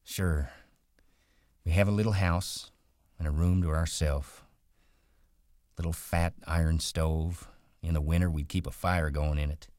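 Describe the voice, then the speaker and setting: A man voicing a ranch worker who talks in a rustic drawl, brisk and rolling. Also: a bass voice, fairly even intonation, darkish tone, no echo, studio quality. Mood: dreamy. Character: wiry male ranch worker, guarded and dreaming.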